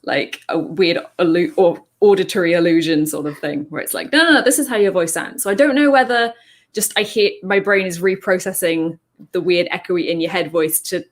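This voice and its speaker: A woman video creator talking casually on a panel, with a relatively deep voice, laughing often and restarting her sentences. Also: brisk. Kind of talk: casual online panel chat.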